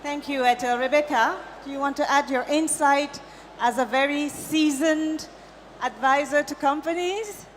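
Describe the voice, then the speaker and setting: A woman moderating a conference panel, asking slow and deliberate questions. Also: high-pitched, fairly even intonation. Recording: conference hall reverb, faint noise.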